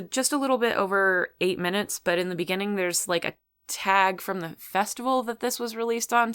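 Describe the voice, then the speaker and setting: A woman's voice: mid-range voice, fairly bright tone, clean tone. A woman hosting a solo podcast, talking casually in a rambling, chatty way about what she watches. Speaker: podcast host.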